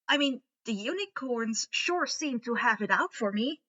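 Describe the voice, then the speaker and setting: A woman voicing a huffy, exasperated teenage boy who is secretly a dark creature, in an indignant, matter-of-fact way. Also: on the high side, lively intonation, normal speed, lo-fi sound. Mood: awkward, dry.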